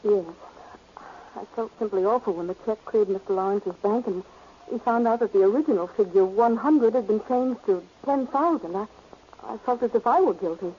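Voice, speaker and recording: A woman's voice: medium pitch, normal speed, close to an everyday voice. An actress playing a polite, earnest, slightly hesitant young cashier giving her account in a 1940s radio drama. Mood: polite, uneasy. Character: earnest, polite female beauty parlor cashier and witness. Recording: dull, noisy old radio recording.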